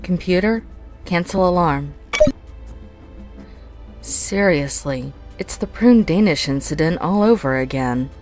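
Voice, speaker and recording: A woman playing a deadpan teasing pilot. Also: fairly low pitch, slow, long pauses, soft background music. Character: teasing sardonic female starfighter pilot. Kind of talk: fan audio drama acting.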